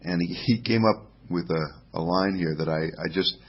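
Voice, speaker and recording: A man's voice: deep voice, normal speed, lo-fi sound. A middle-aged man hosting a casual solo podcast from home, rambling with many fillers and restarts. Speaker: podcast host.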